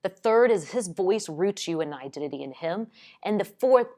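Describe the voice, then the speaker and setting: A woman speaking in a somewhat low register, loud and outspoken by nature. She delivers an earnest, encouraging faith lesson straight to the viewer, teaching step by step. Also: lively intonation, moderate pace. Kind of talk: earnest teaching talk to camera.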